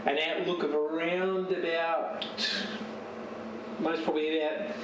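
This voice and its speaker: A man giving a casual, unscripted show-and-tell as a radio hobbyist, speaking haltingly and searching for words. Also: a little high, dull audio. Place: home radio shack. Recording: roomy, distant mic with steady noise.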